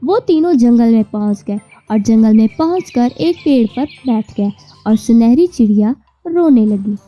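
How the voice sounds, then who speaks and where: A woman's voice: on the high side, lively intonation, a little slow, mellow, muffled recording. A woman narrating a scripted children's story, with music and birdsong behind her.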